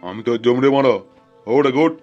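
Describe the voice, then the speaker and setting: A man voicing a vengeful male ghost in an older man's body, with drawn-out, menacing threats, for a comic horror cartoon. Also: medium pitch, a little mellow, muffled recording, faint music behind, close to an everyday voice.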